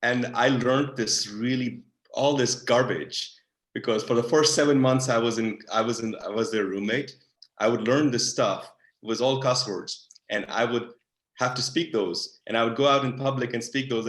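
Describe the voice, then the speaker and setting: A man's voice: mid-range voice, moderate pace, fairly bright tone. A man, a diplomat, answering audience questions in a thoughtful way, with frequent fillers and restarts. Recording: roomy echo.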